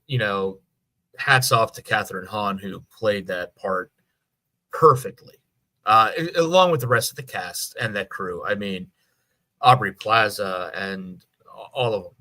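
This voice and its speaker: Bright, forceful low-voiced man chatting. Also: measured pace. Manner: enthusiastic, agreeable. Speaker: podcast co-host. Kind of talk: casual chat about TV shows.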